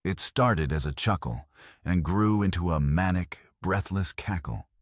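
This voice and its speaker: A man's voice: deep voice, a little slow, no room sound, studio quality. A man giving a solemn, scripted dramatic narration of a fiction story. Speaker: audio novel narrator.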